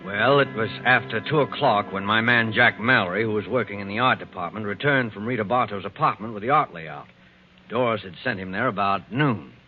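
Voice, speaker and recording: Matter-of-fact storyteller played by a man, 1940s radio mystery, fairly low voice, tinny broadcast with music. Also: brisk, close to an everyday voice.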